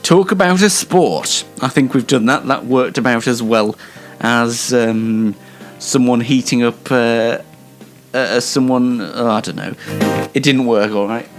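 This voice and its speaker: A man's voice: mid-range voice, measured pace, music in the background. A man hosting an amateur radio show in a casual, rambling way, hesitant and wryly self-deprecating.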